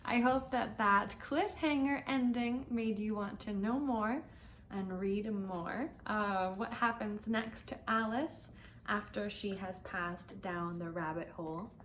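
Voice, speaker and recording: Female English teacher and read-aloud host, expressive and careful in diction, faint noise behind. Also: medium pitch, takes it slowly. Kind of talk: storybook read-aloud for language learners.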